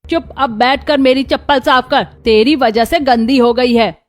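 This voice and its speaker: A woman voicing a cruel middle-aged aunt in a Hindi cartoon story, with sharp, melodramatic delivery. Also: high voice, normal speed, lightly acted. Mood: scolding, contemptuous.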